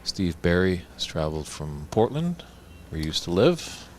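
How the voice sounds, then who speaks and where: Deep-voiced snooker commentator, chatty. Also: male voice, big pitch swings, normal speed, slight background noise.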